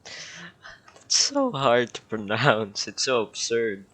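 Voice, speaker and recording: A man's voice: mid-range voice, big pitch swings, slow pace, sharp tone, poor audio. A man casually commenting while playing a video game, joking and offhand. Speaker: gamer.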